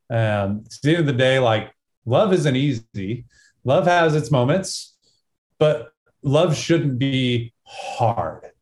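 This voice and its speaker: A man's voice: medium pitch, a little mellow. A man from Texas speaking casually as a podcast guest, giving earnest advice in short phrases. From Texas, United States. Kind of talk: casual conversational podcast talk.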